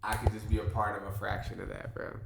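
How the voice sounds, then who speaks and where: A man's voice: fairly low pitch, monotone, quick pace, vocal fry, background noise. A young man in his twenties with a stuffy, nasal voice from allergies, chatting casually to camera at home. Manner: laid-back, chatty, says like and bro. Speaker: vlogger. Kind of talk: casual vlog talk to camera. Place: at home indoors.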